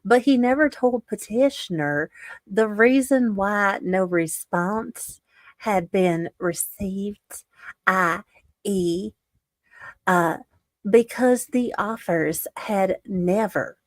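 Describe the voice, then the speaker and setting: A woman reading a court ruling aloud as comedy, haltingly, with exasperated asides. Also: mid-range voice, dry recording. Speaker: reader in a comedy livestream.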